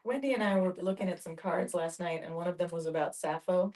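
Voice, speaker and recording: A woman with a slightly low voice speaks conversationally to a group, as if telling a short story. She is picked up from a little distance. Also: fast, dark tone.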